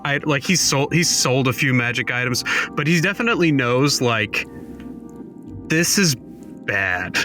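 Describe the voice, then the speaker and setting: Male tabletop player, bright forward voice, casual and hesitant, faint music underneath. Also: medium pitch, expressive, a little slow. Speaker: player in a tabletop role-playing podcast. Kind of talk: casual game chat.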